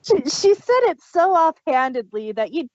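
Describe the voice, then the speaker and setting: A woman's voice: sits high, big pitch swings, moderate pace, darkish tone, dry recording. A woman co-hosting a casual review chat, on a dull lo-fi recording. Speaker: podcast co-host reviewing audio dramas.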